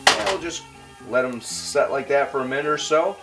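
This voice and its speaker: A man's voice: mid-range voice, lively intonation, moderate pace, vocal fry, over loud music. A man hosting a home cooking show, explaining each step casually as he works in his kitchen. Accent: Detroit. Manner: chatty, explains steps as he works.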